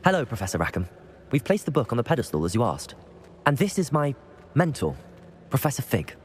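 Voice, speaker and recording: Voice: medium pitch, sing-song, fairly quick, a little hiss or hum, natural voice. An actor playing a keen, polite young student of magic in a video game, eager and earnest.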